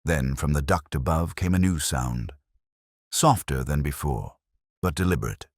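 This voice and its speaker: A man reading a scripted first-person detective story in a measured, dramatic storytelling manner. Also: rumbling low, no echo, clean studio sound. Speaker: audiobook narrator.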